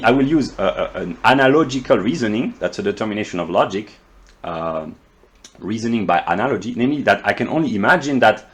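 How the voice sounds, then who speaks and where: Man, French accent, English not his first language, mid-pitched voice, halting professorial monologue, repeats words while searching for terms. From France. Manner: measured, explanatory, professorial. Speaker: philosophy commentator. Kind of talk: unscripted philosophical monologue.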